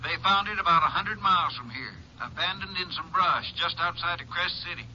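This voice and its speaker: A small-town sheriff, reports in terse, folksy phrases through a telephone filter. The voice is high and piercingly bright. It has the dull sound of 1950s radio drama. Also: male voice, animated, brisk, heavily acted. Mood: businesslike. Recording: dull old radio recording, faint noise.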